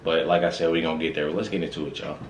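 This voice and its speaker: Deep male voice, American, slangy repetitive chat, echoey room, reaction host. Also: fairly even intonation, rapid-fire, a little hiss or hum. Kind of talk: casual reaction commentary. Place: small indoor room with records on the wall. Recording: roomy echo. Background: faint music.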